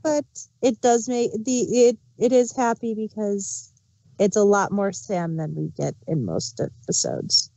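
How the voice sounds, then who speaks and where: A woman's voice: medium pitch, expressive, measured pace, vocal fry, mellow, no echo, low-quality recording. A woman speaking as a panelist in a casual review discussion.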